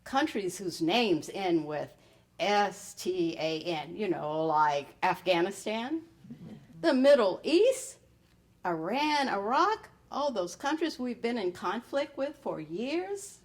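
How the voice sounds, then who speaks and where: A middle-aged woman reading her personal essay aloud in a wry storytelling manner with pointed pauses. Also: mid-range voice, sing-song. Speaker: writer reading own piece.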